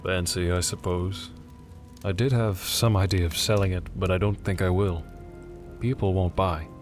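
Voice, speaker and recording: A man's voice: low voice, steady tone, normal speed, soft background music, lightly acted. A man voicing a grave, weary middle-aged sergeant major, terse and solemn.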